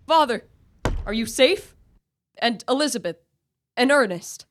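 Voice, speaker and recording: A woman's voice: a little high, lively intonation, measured pace, slight background noise. A young woman playing a tormented, guilt-ridden young man in a radio play, with earnest, formal literary diction. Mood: anxious, eager. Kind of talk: student radio play acting.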